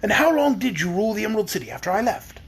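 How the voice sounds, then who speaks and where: A reader voicing a humble, genial little old male wizard who speaks softly, slowly and wryly. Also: medium pitch, very animated, breathy edge, voice pushed up. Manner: soft, slow, wry.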